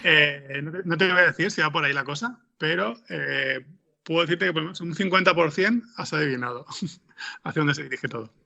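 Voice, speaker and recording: A man's voice: on the high side, brisk, vocal fry, pushed and bright. A man with a Spanish accent from Spain giving casual interview answers, hesitantly searching for his words. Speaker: interview guest, audio fiction screenwriter.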